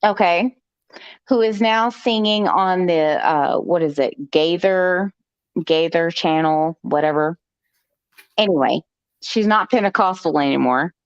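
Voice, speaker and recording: A woman's voice: on the low side, a little slow, clear, resonant tone, no room sound. A woman hosting a live stream, telling a personal story in a chatty, joking way, with frequent "okay" asides. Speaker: host. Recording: dull lo-fi stream audio.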